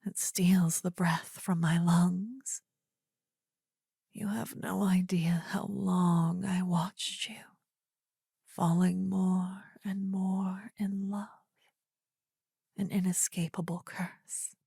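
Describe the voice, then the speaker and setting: Whispering, very slow demon queen played by a woman. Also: on the low side, flat delivery, dark tone, no echo, studio quality, heavily acted. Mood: tender, lovestruck. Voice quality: breathy, husky whisper. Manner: slow, soothing, intimate, formal archaic phrasing. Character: possessive, adoring demon queen, tender yet menacing female lover. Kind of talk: whispered ASMR fantasy roleplay. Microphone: close ASMR microphone, dry.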